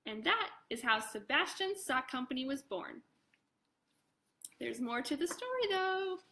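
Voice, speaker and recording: A woman reading her own picture book aloud to children in an expressive way, with casual chatty asides. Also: sits high, unhurried, gravelly, slight background noise. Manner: expressive, addresses young listeners directly; casual, informal commentary between passages.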